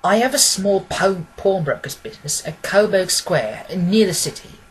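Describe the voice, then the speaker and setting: A woman's voice: lowish voice, expressive, normal speed, a little hiss or hum, voice pushed up, lightly acted, low-quality recording. A woman voicing a plain-spoken, earnest male pawnbroker who rambles a little, in a storybook reading.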